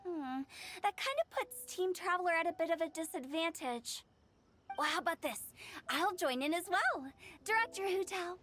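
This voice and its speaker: Mischievous young director for a game: very high pitched, theatrical, declamatory, teasing. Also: female voice, a bit bright. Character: playful, theatrical teenage girl director, mischievous show-off. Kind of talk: animated video game voice acting.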